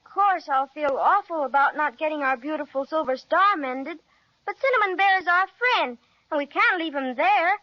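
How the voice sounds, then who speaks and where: A woman's voice: high-pitched, lively intonation, moderate pace. A woman playing a sweet, loyal little girl with earnest exclamations in a children's radio serial. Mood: pleading. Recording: dull vintage radio recording.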